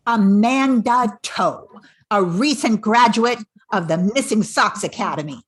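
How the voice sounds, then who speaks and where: A woman reads scripted introductions for a radio play. Her voice is husky and rough-edged, at a middle pitch. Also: animated, measured pace. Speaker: radio play cast member. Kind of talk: scripted reading of cast introductions.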